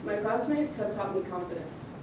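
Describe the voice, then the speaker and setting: A woman in her twenties, a graduating student, giving a ceremony speech with light jokes and warm thanks. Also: medium pitch, animated, moderate pace. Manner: light, joking and tearful at times, frequent laughs. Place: large hall. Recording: echoey hall, far from the mic, audible noise.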